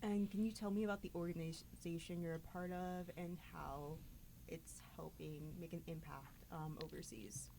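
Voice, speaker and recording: Student interviewer, woman, low and gravelly, relaxed probing questions. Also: normal speed, a little hiss or hum, low-quality recording. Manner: gently probing, conversational questions.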